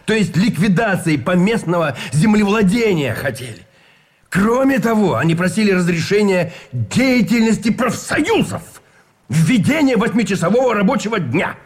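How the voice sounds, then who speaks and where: High-pitched haughty colonel. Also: male voice, sing-song, moderate pace. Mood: scornful. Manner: emphatic, theatrical, declaiming. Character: haughty, cynical male army colonel pleading for patriotic sacrifice. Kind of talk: Russian radio play acting.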